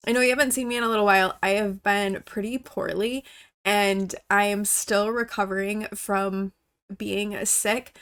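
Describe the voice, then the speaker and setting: A woman's voice: medium pitch, fairly even intonation, moderate pace, fairly bright tone, clean tone. A woman YouTuber, still congested from a cold, chatting casually as she reacts to a game trailer. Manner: chatty, with many "like" fillers.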